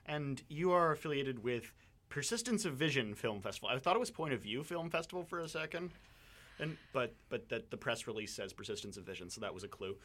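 A man's voice: medium pitch, lively intonation, fairly quick, a bit bright. A man co-hosting a radio show, asking casual, wordy, meandering questions. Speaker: radio co-host. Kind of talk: casual interview chat. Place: radio studio.

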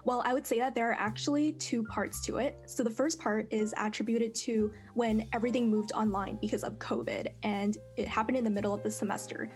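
A young woman, a fourth-year student, speaking in a polished, measured, carefully worded way as a panel guest. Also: a little high, steady tone, a little mellow, soft background music. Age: young adult. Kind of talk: prepared self-introduction on a panel.

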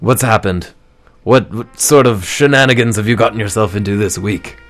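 A man voicing a gloomy hard-boiled male private detective in a comic radio noir drama, talking in deadpan, brooding narration. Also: fairly low pitch, normal speed, faint music behind, close to an everyday voice.

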